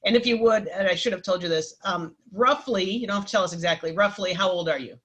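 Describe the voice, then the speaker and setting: A woman's voice: medium pitch, quick pace, a bit bright, lo-fi sound. A woman in her forties hosts a casual livestream interview. She is chatty and encouraging, leans on fillers and restarts, and affectionately calls her guest "hun.". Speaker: host.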